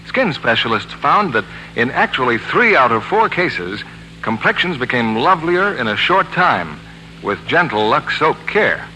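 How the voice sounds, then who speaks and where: A man delivering a scripted 1940s radio advertising pitch, polished and salesmanlike. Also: sing-song, measured pace, pushed and bright. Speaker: commercial announcer. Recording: noisy, humming 1940s radio broadcast.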